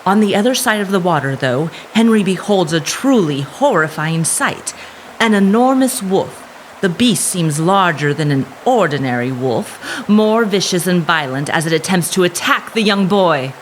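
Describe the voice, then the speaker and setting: A woman with a fairly low voice narrates an audio drama. She tells it in a measured, suspenseful storyteller's style over faint background ambience. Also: lively intonation, slight background noise.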